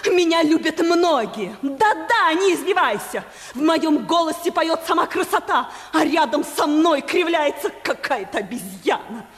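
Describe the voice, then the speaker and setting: An actress playing a vain, spiteful singer with a theatrical, scornful delivery in a 1950s radio drama. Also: female voice, very high-pitched, very animated, unhurried, exaggerated voice. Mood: mocking, vain. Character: spiteful, vain female singer, a violinist's embittered partner. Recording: 1950s studio recording, long reverb.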